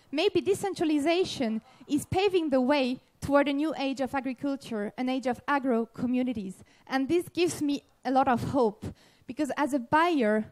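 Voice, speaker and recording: A woman's voice: high voice, darkish tone. A woman in her thirties, French by birth, speaking English on a handheld mic at a conference, hesitating and restarting phrases. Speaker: speaker and panelist. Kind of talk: conference talk. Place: large event tent with audience.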